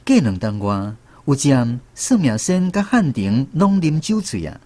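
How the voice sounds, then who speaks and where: A man's voice: mid-range voice, sing-song, unhurried, a little mellow, no room sound. A man with a Taiwanese accent narrating a radio drama as a scripted storyteller.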